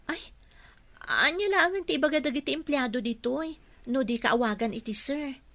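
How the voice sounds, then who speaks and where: Natinggaw a babai a mabain. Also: female voice, high-pitched, normal speed, fairly bright tone, no room sound. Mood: flustered, bashful. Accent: Filipino, Ilocano. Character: modest young female clerk, bashful and polite with her boss. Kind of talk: radio drama acting.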